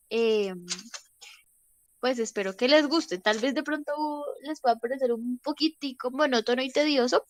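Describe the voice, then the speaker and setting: A woman's voice: a little high, a bit bright, no echo. A woman teacher giving instructions in an online class, nasal and congested from a cold, her voice sometimes fading, patient and full of fillers. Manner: patient, unhurried, with many fillers. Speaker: English teacher. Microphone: video call.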